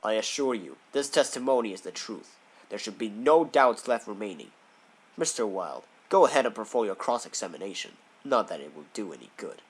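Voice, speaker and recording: A man's voice: lowish voice, expressive, brisk, breathy edge, poor audio. A man playing a haughty, sneering eagle prosecutor in an audio drama, with a pompous, theatrical delivery. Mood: smug. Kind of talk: fan audio drama acting.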